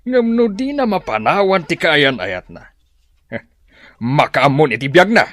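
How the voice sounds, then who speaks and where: A bitter, unforgiving father in middle age acted by a man, speaking with an Ilocano accent. His fairly high voice rises and falls sharply. The drama recording is close and dry. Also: sing-song, a little slow, fairly bright tone, dry recording. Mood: angry, cold.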